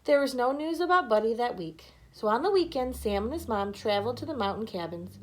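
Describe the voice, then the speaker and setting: A woman's voice: fairly high pitch, animated, fairly quick. A woman reading a scripted children's story aloud as narrator. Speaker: storybook reader.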